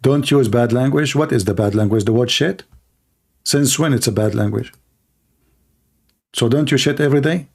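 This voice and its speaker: A man's voice: medium pitch, moderate pace, vocal fry, long pauses, slightly rough. Sarcastic Arabic-accented male host. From Middle East. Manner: sarcastic, combative. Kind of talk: debate livestream.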